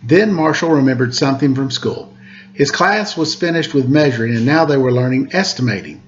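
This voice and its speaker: A man's voice: mid-range voice, lively intonation, fairly quick, lo-fi sound. A man reading a children's picture book aloud as a storyteller. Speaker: storyteller, teacher.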